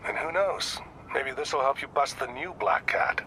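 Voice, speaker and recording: A man's voice: mid-range voice, measured pace, pushed and bright, a little hiss or hum, poor audio. A man playing a weary, earnest older police detective nearing retirement in a video game, explaining things in a matter-of-fact way. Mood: businesslike. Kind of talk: video game voice acting, conversational.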